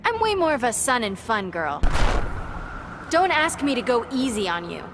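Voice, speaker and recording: A woman's voice: on the high side, big pitch swings, moderate pace. A woman voicing a confident, playful young superheroine who taunts and teases in a video game. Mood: taunting. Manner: sassy, taunting. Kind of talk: video game character dialogue. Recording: very noisy game effects behind.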